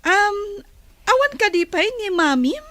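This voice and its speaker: A woman playing an affectionate, inquisitive godmother in a radio drama, with a coaxing, drawn-out delivery. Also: very high-pitched, very animated, pushed and bright, full character voice.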